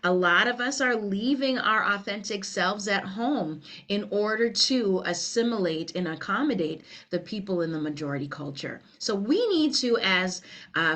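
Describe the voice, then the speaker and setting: A woman's voice: mid-range voice, bright, forceful tone. A middle-aged woman with a Liberian English accent, giving an earnest, conversational webinar presentation with many "you know" fillers. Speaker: workshop presenter.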